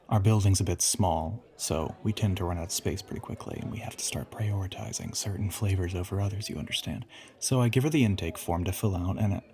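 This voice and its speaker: A man's voice: fairly low pitch, a little mellow, natural voice. A man playing an ancient, teasing vampire who poses as a human boyfriend in an audio roleplay, drawling and often slipping toward a whisper.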